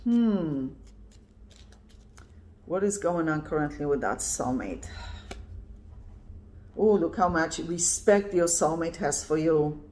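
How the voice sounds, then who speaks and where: A woman in her fifties, originally from Poland, talks through a tarot reading to a camera pointed at her cards. Her voice sits on the low side, and she speaks emphatically, often checking in with "right?". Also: lively intonation, slow, pauses often, darkish tone. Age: 50s. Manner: emphatic, repeats "yeah" and "right?". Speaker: tarot reader. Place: indoors at a card table, camera on the cards.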